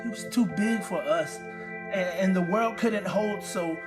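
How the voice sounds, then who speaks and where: A high-voiced young man speaks slowly and tenderly in an audio drama, over clear music. He is plainspoken and halting, searching for his words as he eulogizes a friend. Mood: grieving, wistful. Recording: music under the voice.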